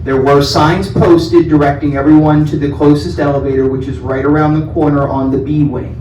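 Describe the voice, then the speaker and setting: A man with a loud voice hosting a community town hall, friendly and joking with residents by name. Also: a little high, level tone, moderate pace, a little hiss or hum. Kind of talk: casual town hall talk. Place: large meeting room indoors. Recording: echoey room, distant mic.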